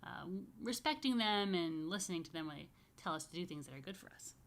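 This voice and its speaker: A woman's voice: on the low side, expressive, fast talker. A woman rabbi doing a read-aloud storytime for young children, conversational, with explanatory asides.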